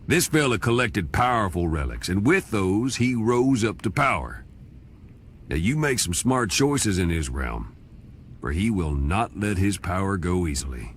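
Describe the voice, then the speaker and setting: An actor playing a wry old talking skull who narrates a game's cutscenes in a folksy, sardonic Southern American drawl. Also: male voice, low voice, sing-song, a little slow, slight background noise. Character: wry old talking skull, male demonic drifter storyteller. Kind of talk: scripted game cutscene narration. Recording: music behind the voice.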